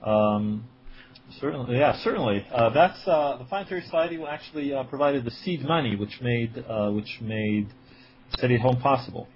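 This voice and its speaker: A man's voice: mid-range voice, moderate pace. A man in his forties from Israel, answering interview questions with many fillers and restarts, and a slight cold. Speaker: guest, writer and editor. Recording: dull lo-fi sound with faint noise.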